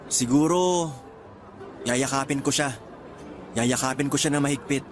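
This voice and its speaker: An actor playing an excited young Filipino traveller in a radio drama, speaking in an earnest, conversational Filipino accent. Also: male voice, mid-range voice, unhurried, a little hiss or hum, lightly acted. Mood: wistful, unsure. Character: excited young Filipino man on first trip abroad.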